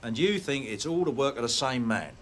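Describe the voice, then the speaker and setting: A man playing a world-weary, hard-nosed detective inspector, gruff and sardonic, in a TV police drama. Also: mid-range voice, expressive, brisk, a bit bright, natural voice. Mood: sceptical. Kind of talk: naturalistic TV police drama acting. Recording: slightly distant, noisy TV drama sound.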